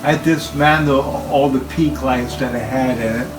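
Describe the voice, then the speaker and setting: A man giving an informal, halting talk about equipment as a hobbyist presenter, speaking in short phrases. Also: mid-range voice, steady tone, music in the background, low-quality recording. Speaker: hobbyist presenter showing his tape machines.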